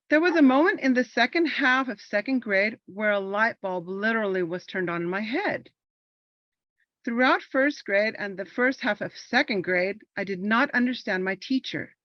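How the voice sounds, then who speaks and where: A woman's voice: a little high, no echo, highs cut off. A woman from Los Angeles whose first language is Spanish, an army veteran performing a personal monologue in an earnest, reflective storytelling manner. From Los Angeles, California.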